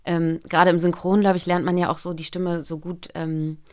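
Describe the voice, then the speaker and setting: A woman's voice: fairly low pitch, flat delivery, normal speed, a little mellow. A woman voice actor, a podcast guest, talking casually about her work and thinking aloud with many fillers. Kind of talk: casual interview chat.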